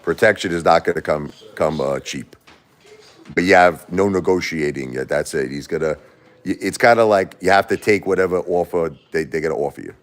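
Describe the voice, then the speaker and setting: A man's voice: low-pitched, brisk. A man from Brooklyn, hosting a casual livestream, blunt and repeating himself as he answers viewers and spars with critics. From Brooklyn, New York. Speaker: livestream host. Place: home.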